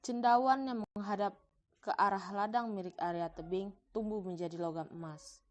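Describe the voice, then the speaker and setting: A woman's voice: medium pitch, fairly even intonation, normal speed. A woman narrator reading a scripted folktale for a cartoon in a storytelling style.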